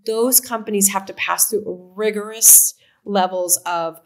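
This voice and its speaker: A woman's voice: mid-range voice, measured pace. A woman in her fifties from Oregon with an American accent, talking casually as a company co-founder on a video call. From Oregon, USA. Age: 50s. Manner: animated, gestures while talking. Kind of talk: casual interview talk. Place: home room. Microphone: video call webcam.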